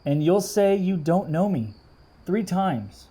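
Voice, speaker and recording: A man's voice: high-pitched, lively intonation, takes it slowly, dark tone, breathy edge, full character voice. A man voicing an earnest, commanding Jesus in a children's storybook, with solemn, emphatic delivery. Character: Jesus, earnest, commanding adult male religious leader. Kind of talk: children's storybook read-aloud.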